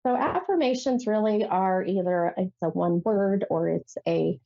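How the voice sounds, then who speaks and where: A woman's voice: medium pitch, measured pace, gravelly, muffled recording. A woman hosting a psychic call-in show, chatting casually about tarot with many fillers, sounding nasal from a head cold. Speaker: psychic radio host.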